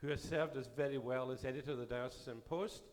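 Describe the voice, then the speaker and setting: Bishop addressing a synod, voice going. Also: male voice, medium pitch, steady tone, fast talker. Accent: Canadian. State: losing his voice. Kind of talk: formal address to a church assembly. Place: church assembly hall.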